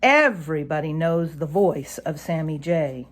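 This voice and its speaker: A woman narrating a children's animal story aloud in an expressive storytelling style. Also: sits low, unhurried.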